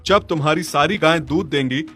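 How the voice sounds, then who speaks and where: Man doing an insistent, higher-voiced neighbour. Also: brisk, a bit bright. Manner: insistent, advising. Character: pushy, practical male neighbour giving advice. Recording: music behind the voice.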